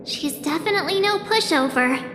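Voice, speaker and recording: Teen girl game heroine, very high voice, candid, informal, perky, reverberant, music behind. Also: brisk. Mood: eager, planning. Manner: informal, blurting, animated. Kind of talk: video game voice acting.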